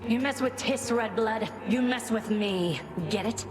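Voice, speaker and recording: A menacing she-fury from a video game, voiced by a woman, fairly high and brash. She talks in blunt threats over very loud music. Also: measured pace, close to an everyday voice.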